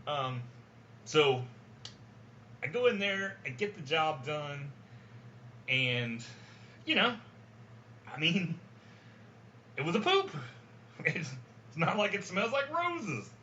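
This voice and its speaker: A man's voice: fairly high pitch, sing-song, takes it slowly, long pauses, pushed and bright. A man hosting a podcast, telling a long, crude, rambling story with frequent laughs. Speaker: podcast host. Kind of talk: casual storytelling monologue. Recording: slightly dull, faint noise.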